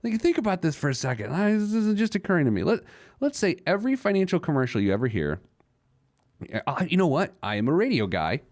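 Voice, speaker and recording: A man's voice: fairly high pitch, very animated, quick pace, a little mellow, dry recording, studio quality. A man hosting a personal finance radio show off the cuff, emphatic and often answering his own rhetorical questions. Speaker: radio host, financial planner.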